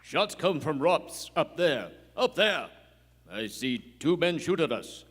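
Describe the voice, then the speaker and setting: An actor playing a stoic, loyal tracker sidekick in a live Western radio play, speaking terse, broken English with grunted hums. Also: male voice, on the high side, sing-song, slow, a bit bright, slightly rough, heavily acted. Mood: alert. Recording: echoey theatre hall.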